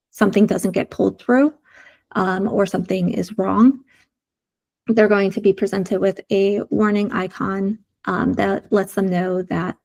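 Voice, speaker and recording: A woman's voice: mid-range voice, vocal fry, mellow. A woman with a sore throat presenting design prototypes in a video call meeting, explaining each screen step by step with frequent fillers. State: sore throat, losing her voice. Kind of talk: community meeting screen-share walkthrough.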